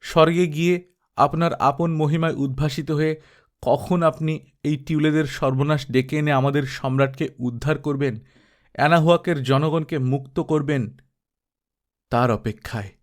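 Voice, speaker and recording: A man voicing a pompous, bloodthirsty old high priest who pleads in loud ceremonial tones. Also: steady tone, moderate pace, natural voice. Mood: pleading.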